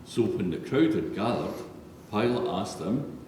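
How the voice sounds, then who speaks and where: A man's voice: lowish voice, expressive, a little slow, darkish tone. A man narrating a solemn scripted Bible reading in a large church. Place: large reverberant church, read aloud. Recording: echoey church hall, distant, faint noise.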